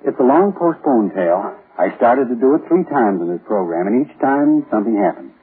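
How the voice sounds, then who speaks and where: A man with a husky voice hosting an old radio drama series, speaking as its writer. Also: mid-range voice, very animated, fairly quick. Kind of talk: 1940s radio host talk. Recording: muffled, thin old radio recording.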